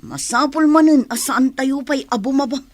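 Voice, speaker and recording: A woman's voice: high-pitched, normal speed, darkish tone, no echo, close to an everyday voice. A woman with an Ilocano accent playing a wary robber henchman in a radio drama.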